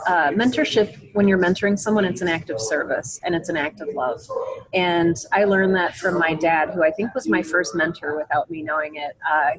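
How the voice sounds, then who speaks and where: A woman with a slightly low voice speaks as a guest in an interview. Her delivery is earnest and emphatic, with a motivational bent, and she restates key phrases to drive them home. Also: rough recording. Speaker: interview guest, podcaster and mentor.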